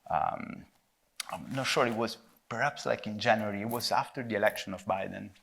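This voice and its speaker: A man with an Italian accent, a filmmaker answering at a panel discussion, thinking aloud with pauses and a habit of tagging "no?" onto his points. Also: lowish voice, gravelly, fairly bright tone. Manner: thoughtful, halting, frequent tag "no?". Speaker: filmmaker guest.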